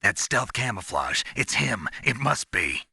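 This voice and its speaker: A gruff covert soldier from a video game, with a very low, husky voice. He speaks in terse, guarded lines with a hard, forceful edge, cold and pragmatic. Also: big pitch swings, a little slow, no room sound, clean studio sound, heavily acted. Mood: wary, puzzled. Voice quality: gravelly, husky.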